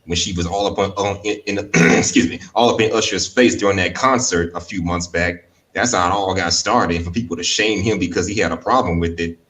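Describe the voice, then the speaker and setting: Guy, lowish voice, ranting opinions on a panel, bit far from the mic. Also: fairly quick, few pauses, fairly bright tone. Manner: critical, colloquial. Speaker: panel guest. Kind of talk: casual opinion monologue. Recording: distant from the mic.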